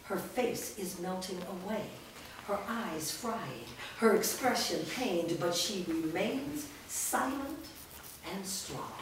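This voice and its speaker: A woman's voice: mid-range voice, lively intonation, distant mic, background noise. Woman reading stage directions, echoey studio. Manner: measured, descriptive. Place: large indoor rehearsal studio. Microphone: far camera mic.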